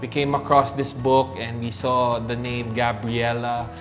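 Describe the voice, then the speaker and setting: A middle-aged man, a father talking casually about his child, halting and repeating words. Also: medium pitch, monotone. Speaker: father of the interviewee. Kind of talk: casual chat. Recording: music playing behind.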